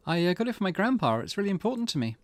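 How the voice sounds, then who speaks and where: A man voicing an anxious, earnest teenage schoolgirl with a hesitant, halting way of talking, for a visual novel game. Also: sits high, sing-song, no echo. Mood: nervous.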